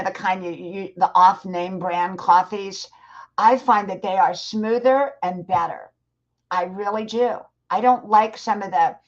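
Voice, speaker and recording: A woman's voice: medium pitch, dull audio. A woman in her sixties chatting casually on a live stream, answering viewers and giving encouragement, often repeating herself. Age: 60s.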